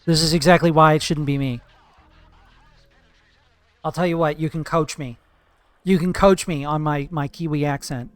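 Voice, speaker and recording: Chatty, profane streamer man with a higher voice. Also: fairly even intonation, normal speed, long pauses, dry recording. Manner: chatty, profane, playful teasing of chat. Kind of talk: casual live-stream chat while gaming.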